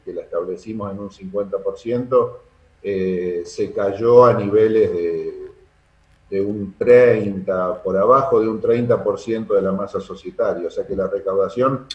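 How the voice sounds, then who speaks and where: A man's voice: lowish voice, unhurried. Argentine man in a muffled, echoey room. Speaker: sports club secretary, interview guest. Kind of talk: explanatory interview answers.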